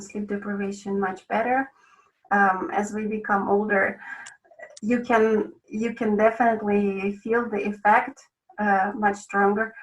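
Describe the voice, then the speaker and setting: A woman's voice: mid-range voice, a bit bright, far from the mic, rough recording. A woman from Russia, a guest sleep consultant speaking in a casual interview, thoughtful and hedging with many ums and restarts.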